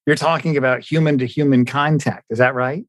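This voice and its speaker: A man with a medium-pitched voice hosts a podcast interview. He talks in an effusive, enthusiastic way, and the sound is dry with almost no room reflection. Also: fairly even intonation, brisk, dry recording. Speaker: podcast host.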